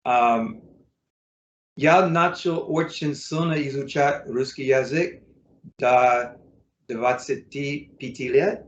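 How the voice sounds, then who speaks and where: Halting American man speaking slow Russian. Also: mid-range voice, reverberant, highs cut off, a little hiss or hum. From United States. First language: English. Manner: halting, many fillers and self-corrections. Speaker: interview guest, English teacher. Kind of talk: casual interview in learner's Russian.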